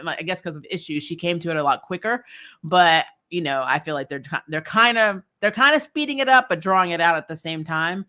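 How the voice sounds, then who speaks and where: Bright-voiced woman chatting through a recap. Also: on the low side. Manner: informal and opinionated, with mild swearing and wry asides. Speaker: host of a TV recap show. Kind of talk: casual chatty episode review commentary.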